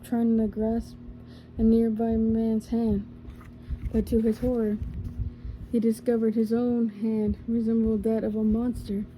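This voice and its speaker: A young woman hosting an ASMR channel, gently reading a fairy tale aloud in a calm, soft-spoken way. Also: a little high, slight background noise, rough recording. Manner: gentle, calm, with small slips and restarts.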